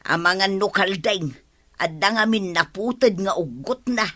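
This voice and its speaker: A woman's voice: mid-range voice, a little slow, a bit bright. A woman acting an exasperated, complaining farmer's wife in a comedy radio drama. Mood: worried, reproving. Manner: complaining, nagging. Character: exasperated wife scolding her vengeful farmer husband.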